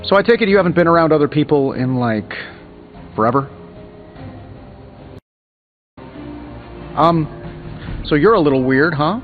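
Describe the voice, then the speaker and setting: Guy doing a cocky american superhero inventor for a video game, swoopy sarcastic delivery, music playing loud behind him. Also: a little high, lively intonation, fairly quick, phone-quality sound, close to an everyday voice. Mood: sardonic. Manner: glib, sarcastic quipping. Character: cocky, wisecracking male tech genius superhero inventor. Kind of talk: scripted video game voice acting.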